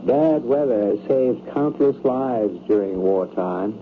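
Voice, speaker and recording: A man's voice: medium pitch, animated, slow pace. An actor playing a reserved, curt male professor in an old-time radio drama, formal and politely aloof. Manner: clipped, formal, unforthcoming. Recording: tinny, very noisy old radio broadcast.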